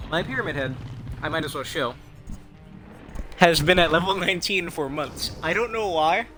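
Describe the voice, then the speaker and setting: A man's voice: fairly high pitch, bright, forceful tone. A young man chatting casually over his own gameplay, rambling and wry, poking fun at himself and at other players. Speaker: game streamer.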